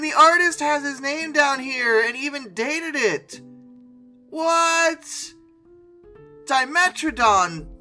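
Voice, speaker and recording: An incredulous, sarcastic host giving casual reaction commentary, with emphatic delivery and dramatic pauses. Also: medium pitch, bright, forceful tone. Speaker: host of a paleoart reaction video. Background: music.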